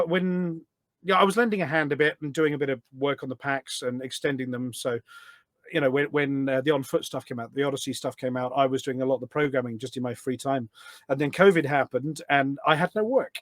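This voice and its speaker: A man's voice: medium pitch, fairly even intonation, fairly quick, a bit bright, dry recording. A British man telling anecdotes in a casual, enthusiastic, rambling way as a podcast guest. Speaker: podcast guest, voice pack developer. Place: small studio.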